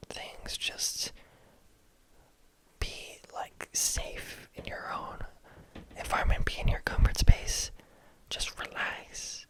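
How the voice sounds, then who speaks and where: A young adult ASMR creator in a close-mic whisper, chatting loosely with lots of fillers and often losing the thread. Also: poor audio. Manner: rambling and loose, heavy on "like" and "um" fillers; often loses the thread of the words. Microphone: close-up mic, near enough to pop.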